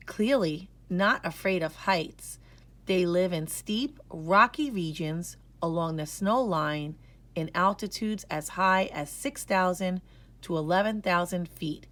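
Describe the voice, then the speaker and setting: Slow, expressive woman reading to children. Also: mid-range voice. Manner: expressive, measured, careful enunciation. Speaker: children's storybook reader. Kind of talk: scripted read-aloud narration.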